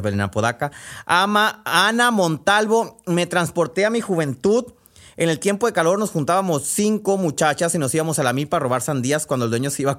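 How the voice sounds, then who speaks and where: A man with a Sonoran Mexican accent hosting a podcast, chatting casually in a folksy, halting way with asides as he reads out messages. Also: fairly high pitch. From Sonora, northwest Mexico. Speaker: podcast host and storyteller. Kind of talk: casual chat, reading out listener messages.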